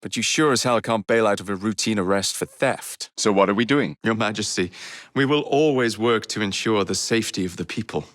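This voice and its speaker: An actor playing a cocky young Victorian London rogue in a video game, cheeky and teasing with an English accent. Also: male voice, lowish voice, a little fast, a bit bright, no room sound, close to an everyday voice. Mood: businesslike, wry.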